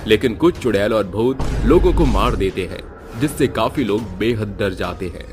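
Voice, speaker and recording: A man's voice: lowish voice, animated, normal speed, over music. A man narrating a scripted horror cartoon story in a dramatic storytelling style.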